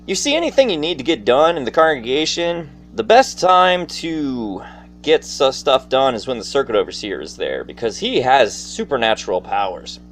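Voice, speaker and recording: A man with a very lively, swinging pitch tells stories casually, as if to a gathered audience. His delivery is folksy and theatrical, speaking straight to the listener. There is faint noise in the background. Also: moderate pace. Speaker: storyteller. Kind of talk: casual personal storytelling monologue to an audience.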